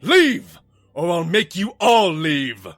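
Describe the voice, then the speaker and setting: A man's voice: high-pitched, very animated, slow pace, fairly bright tone, dry recording, studio quality, faint music behind, heavily acted. A man playing a vain, obsessive washed-up stage actor stallion, a theatrical and grandiose villain in a cartoon audio drama. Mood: furious.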